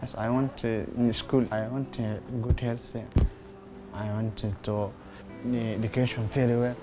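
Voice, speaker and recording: A man from Somalia speaking slowly and haltingly in an interview. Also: mid-range voice, level tone, over music. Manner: slow, halting, simple phrasing.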